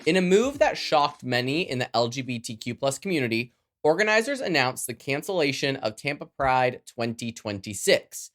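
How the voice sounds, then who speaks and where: A Canadian podcast host with a very lively, swooping delivery at a middle pitch. The manner is sassy and playful, moving between scripted news reading and chatty, campy asides. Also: moderate pace, few pauses, faint music behind.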